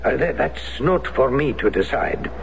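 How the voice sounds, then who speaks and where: A wary, formal former resistance fighter. He speaks with careful hesitation and a lively, rising and falling delivery. The sound is a muffled, hissy old radio broadcast. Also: medium pitch, measured pace, vocal fry. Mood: sympathetic. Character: guarded male French former resistance fighter. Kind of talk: 1970s radio drama acting.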